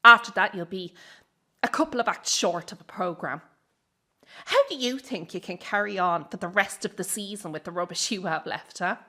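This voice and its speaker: An actress plays a wounded, defiant young circus woman in a radio play. Her voice is sharp and forceful, with lively swings in pitch, and she speaks with sarcastic, confrontational bite. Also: normal speed. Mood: bitter, furious. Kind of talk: dramatic radio play dialogue.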